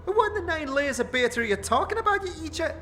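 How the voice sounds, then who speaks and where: A woman's voice: high-pitched, expressive, fast talker, a bit bright. A woman voicing a scornful, combative tiefling fighter in a fantasy game audio drama, mocking and full of street slang.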